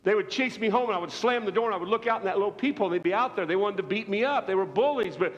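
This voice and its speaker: Animated fifty-something evangelist preaching. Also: male voice, high voice, very fast. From Iowa, United States. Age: 50s. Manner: animated storytelling, swinging between loud outbursts and quiet asides. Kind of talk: sermon. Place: church sanctuary. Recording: echoey church hall, dull lo-fi live recording.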